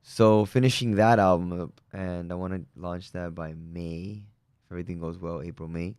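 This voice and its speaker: A man's voice: deep voice, normal speed, smooth, no echo, studio quality. A young man from Peru, a musician guest, chatting casually and upbeat with plenty of fillers. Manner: relaxed, upbeat, lots of fillers. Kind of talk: casual interview chat.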